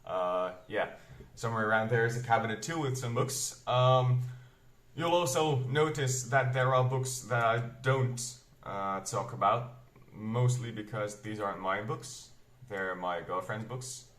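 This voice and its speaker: A man's voice: mid-range voice, fairly bright tone. A man in his twenties with a Flemish accent that mixes American and British English, rambling through a casual bookshelf tour at home. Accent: Flemish, mixing American and British English. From Belgium. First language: Flemish dialect of Dutch. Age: 20s. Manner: rambling, self-correcting, unhurried. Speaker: book vlogger. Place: room at home. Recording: echoey room.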